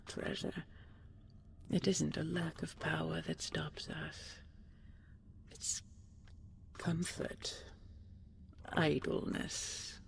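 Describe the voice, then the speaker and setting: A woman playing an ancient dragon queen in an intimate sleep roleplay, tender, regal and wistful, speaking softly and breathily in slow, stately phrases, often half-whispering. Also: sits low, vocal fry, slight background noise, heavily acted. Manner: slow, measured, stately, with lingering pauses.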